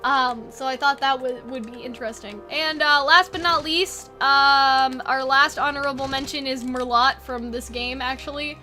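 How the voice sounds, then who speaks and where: Casting: woman host, high-pitched and bright, casual and animated, rambling off the cuff, playfully dismissive. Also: normal speed, hardly any pauses, soft background music. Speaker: video host. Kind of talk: casual brainstorming chat.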